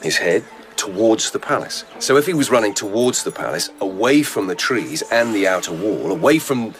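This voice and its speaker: A deep-voiced, intent lieutenant. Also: male voice, lively intonation, moderate pace, fairly bright tone, soft background music. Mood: frustrated, pressing. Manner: intent, deductive, thinking aloud. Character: earnest, methodical male lieutenant investigating a death. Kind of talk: radio drama acting.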